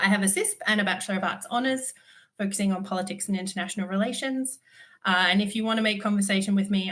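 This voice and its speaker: A woman's voice: fairly low pitch, fairly bright tone. Stuffy woman, dry humour. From Australia. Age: 30s. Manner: candid, dryly humorous, frequent ums. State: stuffy from a cold. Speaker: conference speaker. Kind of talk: virtual conference talk.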